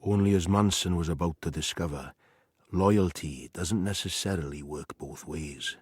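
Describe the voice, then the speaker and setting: An actor as a measured narrator of a radio drama, delivering scripted storytelling. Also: male voice, deep voice, a little hiss or hum, natural voice. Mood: foreboding.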